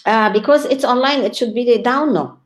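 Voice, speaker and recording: A woman born in Lebanon, speaking as a guest scholar in an online interview, enthusiastic, often pausing with "uh" and laughing. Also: fairly high pitch. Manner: warm, enthusiastic, frequent laughs and hesitations.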